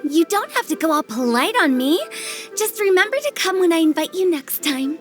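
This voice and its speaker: A very high-pitched, cheeky teenage girl. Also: sing-song, moderate pace, faint music behind. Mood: playful, breezy. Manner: breezy, cheeky, casual. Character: playful, bossy, mischievous teenage girl funeral director. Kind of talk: anime game dub acting.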